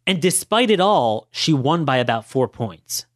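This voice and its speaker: Hoarse man in a dry, close monologue. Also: fairly high pitch, very animated, unhurried, no room sound. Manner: emphatic, argumentative, rhetorical questions. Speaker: political podcast host. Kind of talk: opinionated political monologue.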